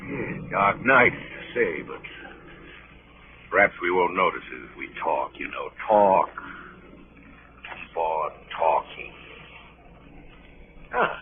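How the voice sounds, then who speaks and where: An actor playing a mysterious, villainous passenger who taunts grandly in a 1930s radio drama. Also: male voice, sits low, expressive, takes it slowly, long pauses. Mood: sly, menacing. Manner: theatrical, mocking, insistent questioning. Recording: scratchy, tinny 1930s radio broadcast.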